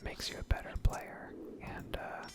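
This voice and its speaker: Whispering woman doing ASMR game commentary. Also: unhurried. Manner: hesitant, self-deprecating. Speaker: gaming video creator.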